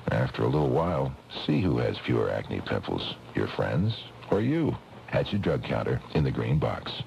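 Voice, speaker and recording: A man's voice: a bass voice, sing-song, normal speed, vocal fry, a little mellow. A man reading a scripted radio commercial as an announcer. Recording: dull, noisy old cassette radio recording.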